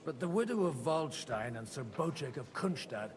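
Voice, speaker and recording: A man's voice: on the high side, big pitch swings, moderate pace, long reverb tail, a crowd in the background. A man acting an eloquent, worldly noble envoy in a game, courtly and theatrical with dry wit. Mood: dry, weary. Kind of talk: dramatic scripted game acting.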